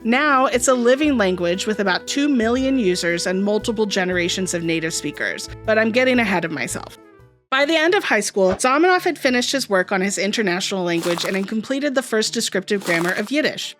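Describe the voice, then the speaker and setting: A woman narrates a scripted history lesson at an even, middling pitch, slipping in dry, wry asides. Her voice is close to the mic, with soft music under it. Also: brisk. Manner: dry asides in a storytelling delivery. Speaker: educational video host.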